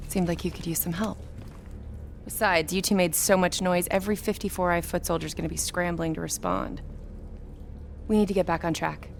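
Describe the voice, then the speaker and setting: An actress playing a brisk, capable female intelligence agent in a military video game, giving clipped, technical briefings. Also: on the low side, steady tone, creaky, slight background noise. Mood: brisk, focused. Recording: game music behind the voice.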